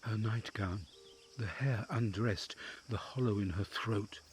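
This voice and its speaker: An actor in an audio drama playing a devoted, wary counsellor to a noble family, with formal, theatrical phrasing and inner musings. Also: male voice, on the low side, a little slow, slight background noise, close to an everyday voice. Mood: yearning, intimate.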